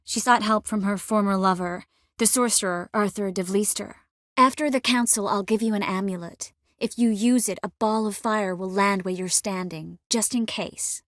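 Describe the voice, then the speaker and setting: An actress playing a determined, warm young sorceress in a fantasy video game, speaking earnestly and expressively with a gently teasing manner. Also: female voice, medium pitch, steady tone, normal speed, dry recording, clean studio sound, natural voice. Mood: earnest, composed. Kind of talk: video game dialogue acting.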